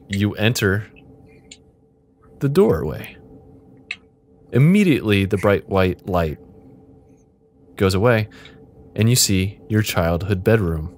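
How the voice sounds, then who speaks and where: Guy narrating an improv text adventure, low voice but really animated, chatty, bit of room noise behind him. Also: takes it slowly, long pauses. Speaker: game master hosting an interactive fiction game. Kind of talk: improvised game narration and casual banter.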